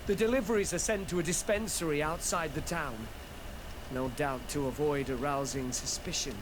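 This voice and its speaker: An actor playing a frightened, cowardly informant in a video game, pleading and deferential in manner. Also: male voice, on the high side, moderate pace, noisy background, natural voice. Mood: deferential, worried.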